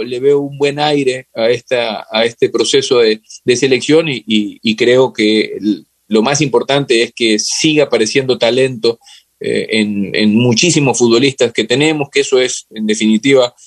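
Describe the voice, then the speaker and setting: Ecuadorian man, halting and thoughtful. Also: medium pitch, fairly even intonation, no echo. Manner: halting and thoughtful, searching for words, repeating phrases. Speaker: interview guest. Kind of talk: casual, reflective football discussion.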